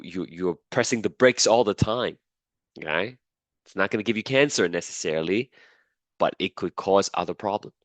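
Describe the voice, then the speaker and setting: Very low-voiced sick male lecturer. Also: dry recording. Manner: repeats key points, checks understanding with "right?" and "okay?". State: sick, losing his voice. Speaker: biology lecturer. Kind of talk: classroom lecture. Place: classroom.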